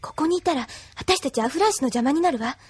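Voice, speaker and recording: High, girlish, earnest young woman. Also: animated, quick pace. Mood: resolute. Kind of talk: anime audio drama acting.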